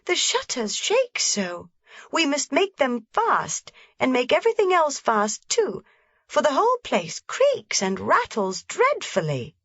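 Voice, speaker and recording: Brief: a woman reading as a small excited girl, high and lively, dry dull recording. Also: measured pace, dry recording, exaggerated voice. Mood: eager. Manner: eager, pleading. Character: bright excited little girl.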